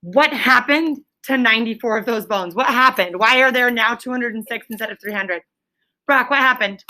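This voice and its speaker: A woman's voice: fairly high pitch, moderate pace, bright, forceful tone, low-quality recording. A woman teaching elementary school pupils in a classroom, prompting them with questions and repeating them, often checking with "right?".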